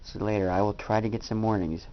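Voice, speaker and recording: A man's voice: lowish voice, fairly even intonation, quick pace, smooth. A tired man chatting casually to viewers as he narrates his own amateur video. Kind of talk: casual vlog chat. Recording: dull lo-fi home recording.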